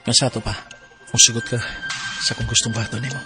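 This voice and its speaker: An actor playing an ardent, coaxing young suitor in a radio drama, with a Cebuano accent. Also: male voice, medium pitch, wildly swooping pitch, fairly quick. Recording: music bed under radio drama voices. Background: music.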